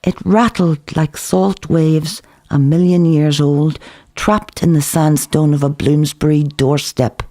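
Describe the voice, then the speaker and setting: A man reading his own novel aloud in an expressive, theatrical literary narration. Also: a little high, slow pace. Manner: theatrical, performing dialogue.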